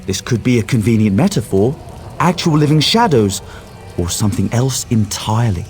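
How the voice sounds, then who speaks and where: A man's voice: mid-range voice, very animated, normal speed, darkish tone, soft background music, natural voice. An actor voicing a cautious, scholarly male mage in a video game, speaking formally and precisely. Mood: thoughtful, explanatory. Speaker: quest-giving character.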